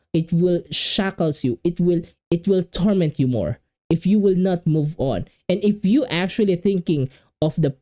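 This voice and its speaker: A man's voice: sits high, dark tone. A man from the Philippines with a Filipino accent, whose first language is Filipino, reacting casually on video, rambling and often repeating and restarting words.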